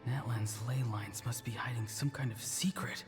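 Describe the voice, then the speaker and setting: An actor playing an anguished, desperate young warrior in a video game. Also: male voice, mid-range voice, steady tone, normal speed, close to an everyday voice. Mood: weak, fearful. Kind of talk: dramatic video game acting. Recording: long reverb, music behind.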